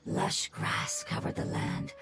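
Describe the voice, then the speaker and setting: A woman voicing a gentle, ancient creature in a game, speaking in earnest, formal, solemn tones. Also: deep voice, wildly swooping pitch, slow pace, exaggerated voice. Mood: earnest, wistful. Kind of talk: scripted game character dialogue. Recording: loud music behind the voice.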